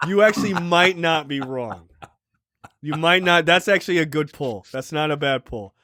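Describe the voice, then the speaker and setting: A man's voice: fairly high pitch, normal speed, sharp tone, no echo, studio quality. A man co-hosting a podcast in casual banter, informal and repeating phrases for emphasis. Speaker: podcast co-host.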